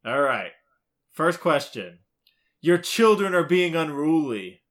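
A man's voice: a little high, sing-song, slow pace, a bit bright. A man co-hosting a podcast in casual, playful banter, sometimes reading out quiz questions in a teasing way. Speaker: podcast co-host.

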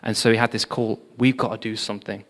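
Man, preacher, storytelling sermon, animated, echoing church hall. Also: on the low side, quick pace. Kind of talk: sermon on revival history. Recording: long hall reverb.

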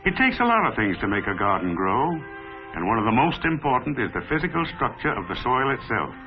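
A folksy man narrates an old-fashioned promotional piece in a mid-pitched voice. The recording is dull and lo-fi, with clear music behind him. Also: big pitch swings, fairly quick. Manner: folksy, plain-spoken. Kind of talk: scripted promotional narration, mid-century film.

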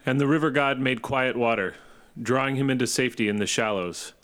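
A man narrating epic verse for an audio drama in a hushed, lyrical storytelling manner. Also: mid-range voice, brisk, close to an everyday voice.

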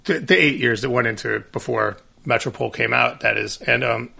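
A man's voice: medium pitch, steady tone, brisk, gravelly, sharp tone, husky. A man, a band's singer, chatting casually with lots of restarts and fillers. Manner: rambling, many restarts and fillers. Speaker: singer in a band, guest. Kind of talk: casual conversation.

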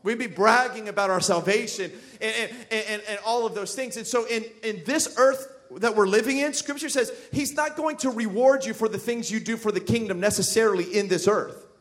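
A man preaching a sermon to a church congregation. He talks casually, cracks jokes and builds up to loud, emphatic passages. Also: sits high, a little fast, hardly any pauses. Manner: conversational, jokey, builds to shouting emphasis. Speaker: pastor. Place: church sanctuary.

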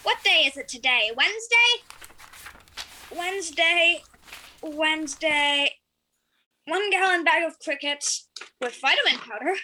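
High, bright girl acting as young lab worker. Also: expressive, measured pace, long pauses, exaggerated voice. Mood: bored, singsong. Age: child, nine to twelve. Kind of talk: audio drama acting by young student. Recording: noisy amateur recording.

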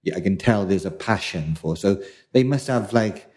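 A man's voice: deep voice, animated, moderate pace, a little mellow. A middle-aged man from South Wales co-hosting a casual podcast chat, rambling and restarting as he hunts for words. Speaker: podcast co-host.

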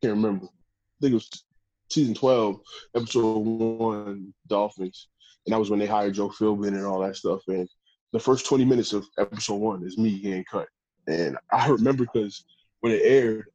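A man, a professional football player, telling stories in a casual interview with a deep voice and a loose, rambling way of talking. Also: fairly even intonation, moderate pace, dark tone, dry recording, highs cut off. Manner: laid-back, rambling with restarts. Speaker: pro football player guest.

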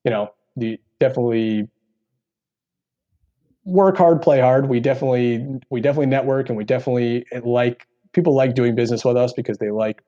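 An American man with a deep voice giving earnest, explanatory interview answers as a podcast guest, with frequent asides. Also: fairly quick, mellow, lo-fi sound. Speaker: podcast guest, real estate executive.

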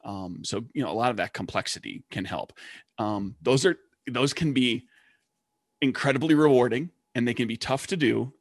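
A man's voice: mid-range voice, moderate pace, no echo, studio quality. A man co-hosting a podcast about tabletop games, thinking aloud in long rambling turns. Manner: rambling, many restarts and ums. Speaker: podcast co-host. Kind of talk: casual chat.

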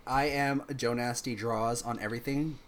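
A man's voice: mid-range voice, steady tone, moderate pace, slight background noise. Laid-back man with a slight Texas twang. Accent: Texan. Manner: laid-back, rambling with fillers. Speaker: podcast co-host. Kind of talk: casual chat.

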